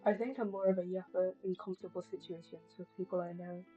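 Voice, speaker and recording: A woman's voice: on the low side, little pitch movement, quick pace. A woman live streamer chatting casually over a game in a musing, wry, rambling way. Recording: slightly muffled stream, music behind.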